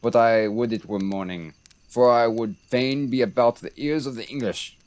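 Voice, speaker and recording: A man's voice: mid-range voice, normal speed, lightly acted. Young man as wry, sardonic French commander. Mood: dry needling. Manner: dry, wry. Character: sardonic French military commander, dry and mocking. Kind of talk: radio theater Shakespeare acting.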